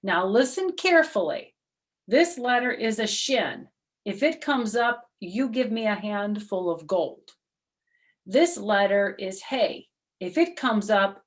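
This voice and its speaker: A woman voicing a wily, cheerful trickster man in a storybook, with an animated, coaxing, mock-innocent manner. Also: a little high, pitched above the performer's own voice.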